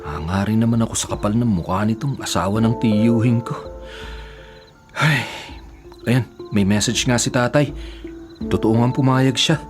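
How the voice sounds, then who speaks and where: A man's voice: mid-range voice, moderate pace, pauses often, over music, close to an everyday voice. A man playing a young adult nephew in a Filipino radio drama, politely deferential but privately exasperated. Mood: exasperated aside. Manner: deferential aloud, sardonic in asides.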